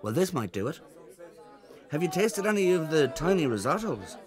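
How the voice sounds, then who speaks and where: A man's voice: a little high, sing-song, normal speed. An actor playing a cheerful middle-aged father coaxing his child in a children's audio drama. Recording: party crowd behind the voice.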